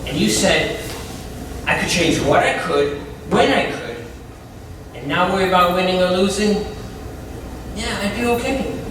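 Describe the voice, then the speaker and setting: In a very echoey, noisy stage recording, a man plays an eager, newly sober ex-convict. He speaks slowly and haltingly, with emphatic, animated swings of pitch and grateful earnestness. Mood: earnest, reflective. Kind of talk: community theatre stage acting. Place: indoor theatre stage, mic far off. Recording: distant, very echoey stage recording with clear noise.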